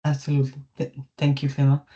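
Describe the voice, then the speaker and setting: A woman's voice: extremely low pitch, monotone, fast, mellow. Lady hosting online meeting, has a cold, searches for words, lo-fi call sound. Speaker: workshop host. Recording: dull video-call audio.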